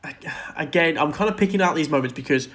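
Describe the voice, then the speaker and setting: A man's voice: a little high, fast talker, a bit bright. A man co-hosting a podcast, casually discussing film and TV in an earnest, opinionated way. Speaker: podcast co-host.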